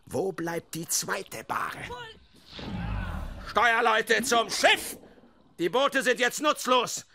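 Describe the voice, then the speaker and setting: A fierce old whaling captain in a radio drama. His gravelly voice is hard and forceful, pitched high for a man, and he declaims slowly and theatrically. A noisy ambience sits behind him. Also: expressive, full character voice. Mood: bitter, stunned. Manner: theatrical, declamatory, swinging from breathy mutter to bellowed orders. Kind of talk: German radio play acting.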